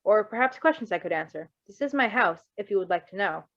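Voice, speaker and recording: A woman's voice: mid-range voice, lively intonation, quick pace, a bit bright. An earnest young male superhero from a read-aloud story, voiced by a woman, speaking stiffly and formally with awkward hesitation. The audio is dull and lo-fi. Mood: polite, nervous.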